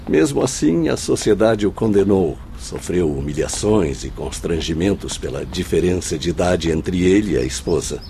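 A man acting an earnest gentleman spirit in a radio drama, very low and mellow. He recounts sorrows in formal, eloquent phrases, with some noise behind him. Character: Romeu, earnest well-informed spirit recounting a friend's tragedy.

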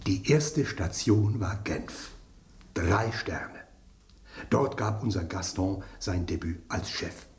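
An actor playing a gossipy, knowing spoon in a German radio drama, telling things with suspense and dramatic pauses. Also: male voice, sits low. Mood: suspenseful. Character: gossipy, knowing talking spoon, relishing scandal.

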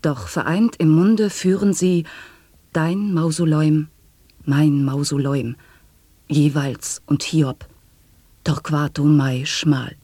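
Playful sound poetry in slow, drawn-out phrases with a husky, breathy edge. The studio is dry and close, and the delivery stays hushed. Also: male voice, on the high side, steady tone, dry recording. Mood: chanting. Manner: slow, hushed wordplay chanting. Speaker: voice in a sound-poetry radio play. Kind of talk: 1980s sound poetry recitation.

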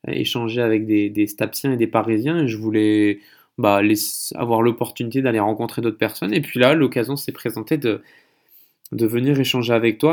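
A man's voice: medium pitch, vocal fry. A man hosting a casual conversational interview, speaking in a rambling, hesitant way. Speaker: podcast host interviewing a guest.

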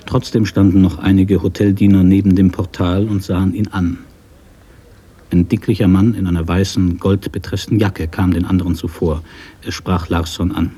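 A man narrating a literary radio drama from a script in a measured, sober manner. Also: sits low, dark tone, slightly rough.

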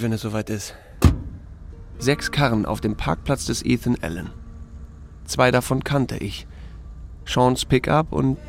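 An actor playing a laconic, wry small-town sheriff who narrates a radio drama in a gravelly, dry, unhurried voice. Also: male voice, mid-range voice. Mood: calm, wry. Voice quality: gravelly, creaky. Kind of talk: scripted first-person drama narration.

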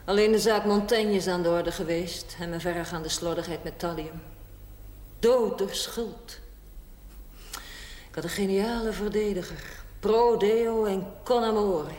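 An actress playing a worldly, unrepentant older woman in a television drama, speaking deliberately and theatrically with dry sarcasm. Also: medium pitch, close to an everyday voice. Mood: sardonic, defiant. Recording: echoey hall with steady hiss.

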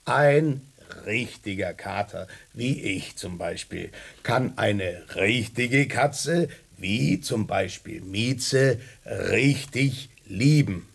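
A smug cat lecturer in a radio play. His voice is husky and creaky, slow, with big sardonic swings of pitch. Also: expressive, exaggerated voice. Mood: smug, lecturing. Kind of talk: radio drama acting.

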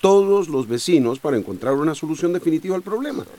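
A man's voice: a little high, expressive, fast, warm tone, lightly acted. A male actor playing an earnest, persuasive small-town mayor in a radio drama. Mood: patient, persuasive. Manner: patient, reassuring, polite, persuasive. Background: rain and thunder.

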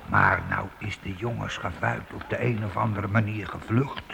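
An actor in a radio play, playing a simple, kind-hearted castle gatekeeper who talks in a hesitant, folksy, confiding way. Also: male voice, lowish voice, steady tone, normal speed, gravelly. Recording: noisy old radio recording.

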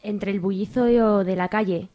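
A woman's voice: mid-range voice, steady tone, unhurried, mellow. A woman with an accent from Spain doing scripted narration as presenter of a radio serial.

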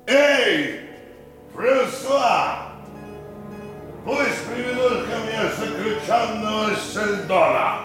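An actor playing an obsequious, fussy prison governor in a radio drama, expressive and halting. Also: male voice, high-pitched, heavily acted. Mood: reluctant. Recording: echoey reverberant hall sound.

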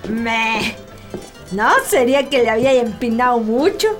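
A woman's voice: fairly high pitch, fairly even intonation, measured pace, soft background music, lightly acted. An actress playing a teasing, sceptical village woman in a radio drama, mocking and colloquial, with a rural Chilean accent.

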